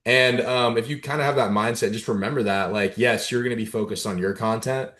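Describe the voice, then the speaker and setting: A man's voice: medium pitch, a little fast. A young man from Dallas, a content creator guesting on a podcast, talking casually with lots of slang and "like.". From Dallas, USA. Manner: relaxed, slangy, lots of "like" and "right?".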